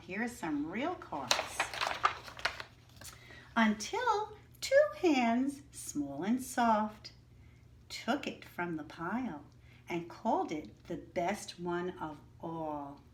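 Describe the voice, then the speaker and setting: A woman reads a children's picture book aloud to her class at an unhurried, careful pace. Her voice moves widely up and down as she makes each line expressive. She keeps stopping to explain things and quiz the children in a patient teacher's manner. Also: mid-range voice, slight background noise. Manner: slow, clear, expressive teacher voice, asking the class questions. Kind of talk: children's story read-aloud with teaching asides.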